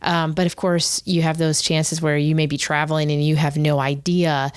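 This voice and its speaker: A woman with a faintly northern US accent talks in a slightly low register. She is a 911 communications manager and a guest on a casual podcast. She rambles through anecdotes with quick asides and laughs often. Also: level tone, smooth. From northern United States.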